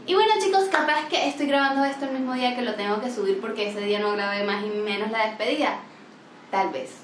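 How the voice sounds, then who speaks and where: A woman's voice: a little high, echoey room, noisy background. A Venezuelan woman in her twenties chatting animatedly and joking in a home vlog, filmed at arm's length indoors. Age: 20s. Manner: animated, joking, gestures a lot. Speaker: vlogger. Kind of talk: casual vlog chat. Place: small room at home, indoors.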